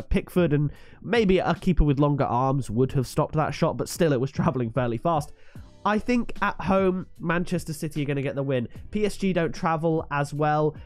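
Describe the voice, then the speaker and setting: Guy w a stuffy cold nose doing his football picks, chatty and confident, bit of a higher voice. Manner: chatty, confident, hypes the fixtures. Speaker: football predictions YouTuber. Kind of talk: solo vlog match predictions.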